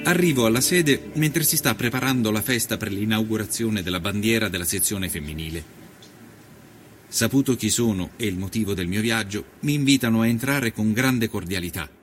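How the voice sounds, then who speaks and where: Male actor as observant 1890s journalist, low voice, documentary diary narration, music behind. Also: normal speed, close to an everyday voice. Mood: measured. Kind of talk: scripted documentary narration, first-person diary reading.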